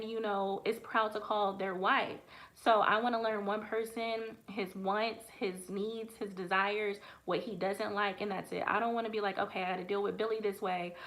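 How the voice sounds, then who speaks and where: Late-twenties woman, livestream host, frank chatty advice, mid pitch, lots of "you know.". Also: a bit bright, poor audio. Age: late 20s. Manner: frank, conversational, many fillers. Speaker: livestream host and dating coach. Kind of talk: casual livestream Q&A.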